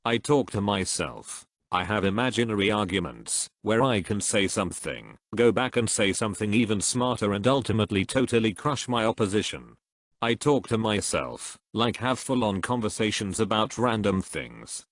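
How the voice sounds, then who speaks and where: A man reading online comments aloud in an even, steady way. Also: fairly low pitch, moderate pace, no room sound.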